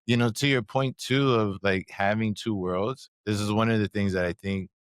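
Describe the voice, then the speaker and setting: A man co-hosting a podcast, telling stories in an animated, casual way, sprinkling in "like" and "right?". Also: fairly low pitch, normal speed, clear, resonant tone, no echo, studio quality. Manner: animated storyteller, frequent "like" and "right?". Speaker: podcast co-host. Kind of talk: casual conversation. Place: live recording at a conference.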